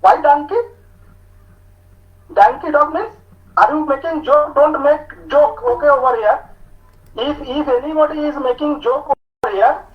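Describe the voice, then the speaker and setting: A man with an Indian English accent giving an online talk to students, speaking slowly with many hesitations as he reads out their answers. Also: very high-pitched. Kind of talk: online lecture with student chat. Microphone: video call. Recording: muffled video call audio.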